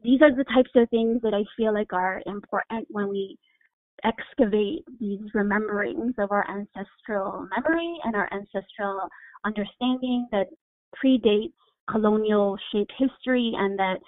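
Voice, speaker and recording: A woman from Hawaii speaks over a thin, phone-like line with a dry, close sound. She lays out her family roots and ideas with measured, thoughtful care. Her pitch sits in a middle range. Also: no room sound. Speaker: guest. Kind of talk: reflective interview answer.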